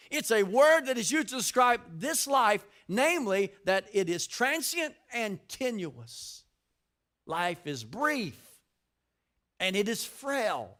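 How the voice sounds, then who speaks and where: An older man, a pastor, preaching a sermon with emphatic rises and shouted lines, repeating words as he builds his points. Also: high-pitched.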